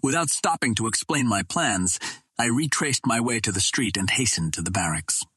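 A man reading an adventure novel aloud as a narrator, with measured, dramatic storytelling. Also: mid-range voice, lively intonation, a bit bright, breathy edge, no echo. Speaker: audiobook narrator.

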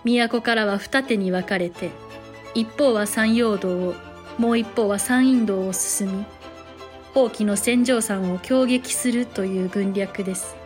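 Noblewoman narrator female actor, period audio drama: composed, courteous, unhurried, mid-range voice over light music. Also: mid-range voice, close to an everyday voice. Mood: composed, solemn. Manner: courteous, formal, measured storytelling. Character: dignified samurai mother recounting her sons' history.

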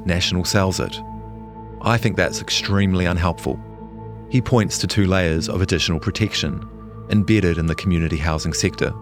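A man with a New Zealand accent reading a scripted longform feature as a journalist. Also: low voice. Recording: music behind the voice.

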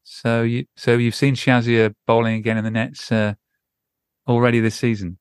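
A man's voice: mid-range voice, level tone, moderate pace, smooth, no echo, clean studio sound. A man hosting a podcast interview in a conversational way.

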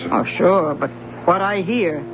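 A woman's voice: fairly low pitch, slow pace, background noise. A woman acting in a 1970s American radio drama, playing a folksy, knowing older lunch-counter proprietor who teases. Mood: sly, indulgent. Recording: muffled radio recording, music behind.

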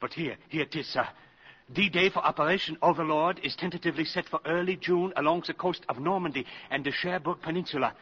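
An actor playing an anxious, deferential spy's subordinate in a 1950s radio drama. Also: male voice, a little high, a little fast, a bit bright, breathy edge. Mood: nervous, eager. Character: nervous, eager male subordinate in espionage. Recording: dull vintage radio recording.

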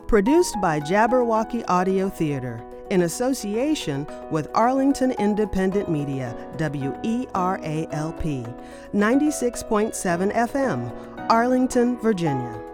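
A woman presenting and reading in a polished, expressive scripted narration style. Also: fairly low pitch. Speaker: presenter and reader.

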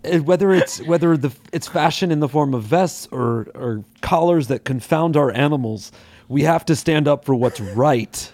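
A man's voice: on the high side, normal speed, darkish tone, no room sound. A man playing a brash, self-promoting adventurer, given to mock-rousing improvised comedy banter. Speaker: podcast co-host.

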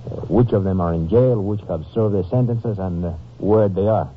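A man's voice: low-pitched, moderate pace, slightly rough. A man acting a courteous, earnest Mexican police officer with a Mexican accent and formal, careful phrasing in an old-time radio drama. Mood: formal, informative. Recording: noisy, narrow-band old radio recording.

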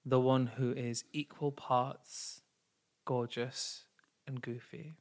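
A man's voice: mid-range voice, level tone, takes it slowly, a little mellow. A man co-hosting a podcast in casual, teasing banter with his husband, laughing often. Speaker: podcast co-host.

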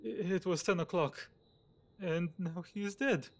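Man playing a high-pitched, fretful, nervous gentleman. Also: normal speed, lightly acted. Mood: despairing. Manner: stammering, anxious, pleading. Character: frightened, fretful, nervous gentleman fearing blame. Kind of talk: audio drama acting.

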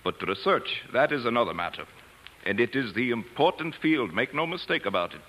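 An actor playing an exacting professor in a radio drama, with a soft, slightly blurred foreign accent and deliberate, didactic delivery. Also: male voice, medium pitch, big pitch swings, slight background noise, poor audio. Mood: measured, appraising. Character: exacting foreign-born professor of bacteriology, stern mentor. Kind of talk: 1940s radio acting. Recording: old 1940s radio broadcast with music.

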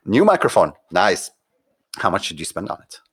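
Low-voiced man telling lively stories. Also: normal speed, breathy edge, no echo, clean studio sound. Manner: animated, storytelling with acted-out quotes, frequent "like, you know". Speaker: guest, conversion optimization consultant. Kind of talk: podcast interview.

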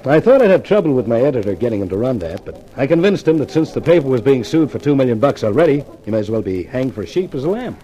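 An actor playing a wry, wisecracking newspaper columnist in a 1950s radio thriller, with a dramatic delivery. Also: male voice, medium pitch, sing-song, quick pace, hardly any pauses, dark tone, natural voice, low-quality recording. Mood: cocky. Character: wisecracking newspaper columnist, timid man turned cocky.

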